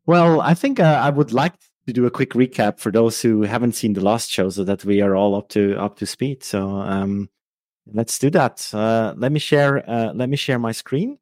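A man's voice: medium pitch, moderate pace, darkish tone, dry recording, clean studio sound. A man from Switzerland with a Swiss German accent, a guest on a tech show, talking casually with frequent fillers and restarts. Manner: hesitant, many fillers and restarts.

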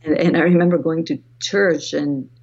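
A woman with a Greek accent talks in a low, husky and mellow voice. She is a guest author in an interview, reflective and repeating words as she finds her thought. The sound is a little dull. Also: steady tone, moderate pace. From Greece. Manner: reflective, restarts phrases often. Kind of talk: podcast interview.

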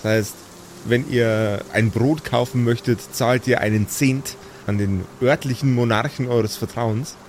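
A man's voice: medium pitch, steady tone, a little slow, a little mellow, slight background noise. A man improvising narration as game master of a tabletop game, with a sardonic manner.

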